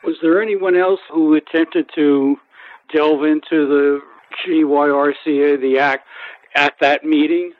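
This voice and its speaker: A man's voice: a little high, fairly even intonation, measured pace, no echo. Attentive male interviewer, tinny phone sound. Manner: brief, attentive questioning. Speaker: radio interviewer. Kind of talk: radio interview questions. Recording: tinny phone-like line, dry.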